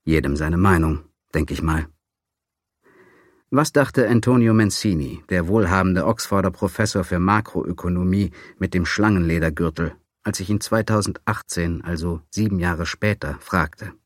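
Deep male narrator, sardonic and dry, close dry mic. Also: normal speed, dry recording. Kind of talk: audiobook narration of a memoir.

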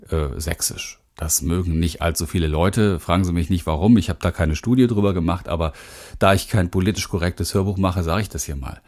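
A man's voice: sits low, darkish tone. A middle-aged man from western Germany narrating his self-help audiobook as a speaking coach, candid and emphatic, talking straight to the listener. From Rhineland, western Germany.

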